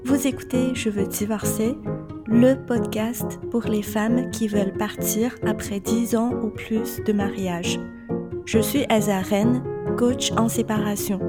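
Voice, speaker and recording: A middle-aged woman hosting a solo coaching podcast, speaking calmly and reassuringly straight to her listener. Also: a little high, slow pace, loud music behind the voice. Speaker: separation coach and podcast host.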